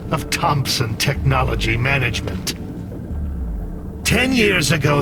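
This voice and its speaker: An actor voicing a coldly calculating artificial intelligence in a video game, measured, solemn and condescending. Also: male voice, on the high side. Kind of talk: dramatic video game cutscene acting. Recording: loud music under the voice.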